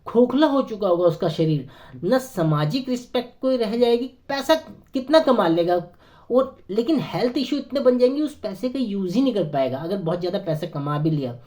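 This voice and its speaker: A hoarse man with a sore throat, talking earnestly to camera as a network marketing consultant, persuading with many hesitant fillers. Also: high voice, expressive, normal speed, a little mellow. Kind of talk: persuasive motivational talk to camera.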